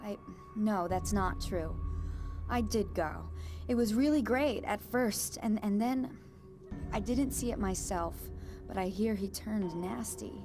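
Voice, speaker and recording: An uneasy young woman played by an actress in a video game, speaking at a middle pitch. She is hesitant and halting, natural in delivery, with clear music underneath. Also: lightly acted. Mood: nervous, hesitant.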